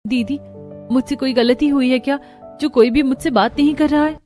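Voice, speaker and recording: A woman's voice: high voice, moderate pace, mellow, faint music behind, natural voice. A woman playing a meek, anxious young daughter-in-law in a story, pleading and apologetic in manner. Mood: hurt, puzzled.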